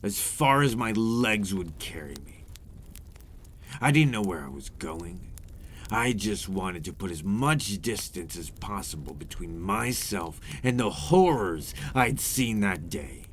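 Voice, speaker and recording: A man playing a weary, remorseful vampire captor in an intimate ASMR roleplay, confessing his past in measured, formal words. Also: fairly low pitch, exaggerated voice. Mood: somber, resigned.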